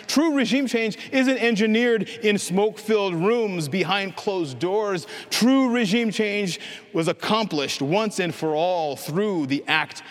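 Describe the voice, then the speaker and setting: Man, pastor, preaching, earnest, impassioned, somewhat high-pitched, long hall echo. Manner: earnest, building to impassioned proclamation. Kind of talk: sermon.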